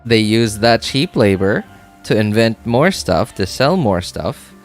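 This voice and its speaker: Male game streamer, lowish voice, informal and rambling, thinks out loud with repeated phrases, faint music underneath. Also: animated, unhurried. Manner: rambling, repeats phrases, often checks with "right?". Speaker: game livestreamer chatting with viewers. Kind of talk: casual livestream chat, thinking aloud.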